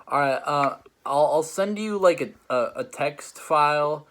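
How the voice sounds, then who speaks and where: Higher-voiced young-sounding man rambling casually. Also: measured pace, a bit bright. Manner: rambling, hesitant, filled with "like". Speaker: host and judge of a challenge show. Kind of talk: casual chat, reviewing stories.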